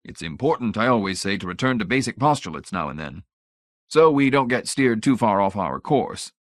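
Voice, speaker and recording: A man's voice: on the low side, big pitch swings, moderate pace, no echo, clean studio sound. A man voicing a fussy, pompous old research director in an audiobook, idealistic and grand in manner but timid. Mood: pompous, earnest.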